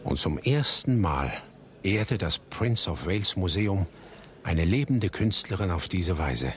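An actor in a German radio drama playing a melancholy son who narrates his family's story in a breathy voice. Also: low voice, sing-song, measured pace. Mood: sober, wry.

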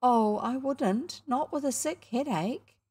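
A woman's voice: high-pitched, slow, warm tone, husky, pitched above the performer's own voice, exaggerated voice. A woman voicing a polite, anxious mother in a storybook, hesitant and fussing as she speaks. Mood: concerned.

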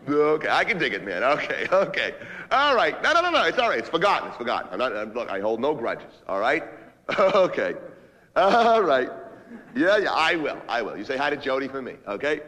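A man's voice: medium pitch, very animated, a little fast, sharp tone. An actor playing a manipulative, foul-mouthed male office boss working the phone, badgering and repeating himself in a comic dramatic monologue. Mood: placating. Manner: repetitive, badgering, swinging between ranting and smooth-talking.

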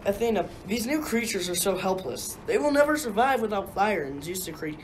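A woman plays a defiant Titan who champions humans in a radio play. The delivery is wry and grumbling, with lively swings of pitch. Faint noise sits behind the voice. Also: fairly quick, lightly acted, poor audio. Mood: concerned, determined. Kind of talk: amateur radio play acting.

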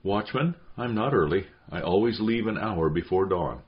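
A man's voice: mid-range voice, expressive, moderate pace, voice pushed up, close to an everyday voice. A man voicing a smart, assertive young widow in a story. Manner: crisp, assertive. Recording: dull, lo-fi sound.

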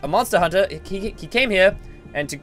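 A man improvising a game roleplay as a ghost character, talking in an urgent, excitable way and laughing a lot. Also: high voice, fast, faint music behind. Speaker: player voicing a ghost character.

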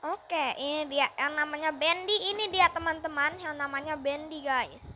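A gaming YouTuber giving upbeat, chatty let's-play commentary and constantly addressing the viewers. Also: female voice, sits high, moderate pace, pushed and bright, a little hiss or hum.

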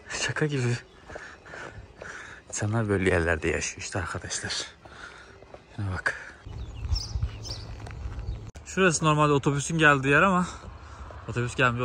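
A man's voice: medium pitch, pushed and bright, a little hiss or hum. A young man chatting casually to camera as an enthusiastic, slangy travel vlogger, recorded on a handheld phone. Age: young adult. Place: outdoors in villages and inside trains. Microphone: phone at arm's length.

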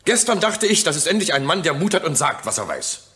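Male as public prosecutor: pointed, rhetorical, fairly high voice, swinging intonation, radio play. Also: big pitch swings, brisk, bright, forceful tone. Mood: pointed, pressing. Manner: pressing, rhetorical. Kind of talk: German radio courtroom drama acting.

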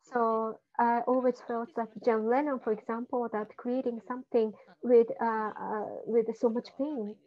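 A woman doing simultaneous interpretation on an online call, talking haltingly with frequent fillers and restarts. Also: fairly high pitch, flat delivery. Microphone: video call. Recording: muffled video-call audio.

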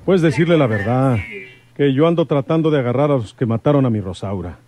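A man's voice: medium pitch, lively intonation, brisk, crowd noise, close to an everyday voice. An actor playing a folksy, brave rural lawman in a radio serial, with a Mexican accent and rustic colloquial speech. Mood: fatherly, confident. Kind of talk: old-time radio serial acting.

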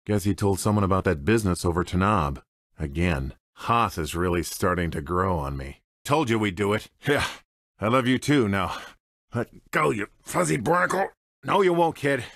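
A man's voice: lowish voice, lively intonation, moderate pace, fairly bright tone, no echo. An American man playing a cocky, wisecracking space smuggler and pilot in a video game, with a dry, sardonic, swaggering manner. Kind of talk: video game character voice clips. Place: dry studio recording.

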